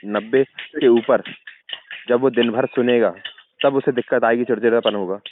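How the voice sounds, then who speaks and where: A man's voice: medium pitch, steady tone, normal speed, a little hiss or hum. Man explaining over a muffled phone line. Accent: central Indian Hindi. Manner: persuasive, checks agreement with frequent tag questions. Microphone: phone call. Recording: muffled, tinny phone-call recording.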